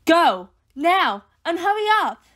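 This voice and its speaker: A woman playing a confident, brisk special agent in an audio drama, casual and assured. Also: very high-pitched, big pitch swings, fairly bright tone, pitched above the performer's own voice, full character voice. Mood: urgent.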